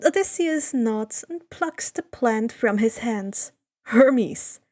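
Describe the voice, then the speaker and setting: A woman's voice: fairly high pitch, animated, slow, a little mellow. A woman reading fan fiction aloud as host and story narrator.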